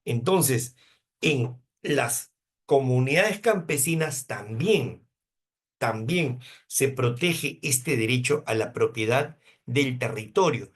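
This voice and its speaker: A Peruvian man in his fifties teaching a law class, asking rhetorical questions and answering them himself. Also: mid-range voice. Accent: Peruvian Spanish. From Peru, coast. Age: 50s. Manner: asks questions and answers them himself, uses hypothetical examples. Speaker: law lecturer.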